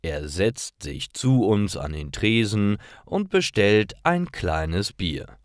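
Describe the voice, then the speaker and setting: A man reading scripted stories aloud for language learners in a careful, deliberate manner. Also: lowish voice, very animated, no echo, studio quality.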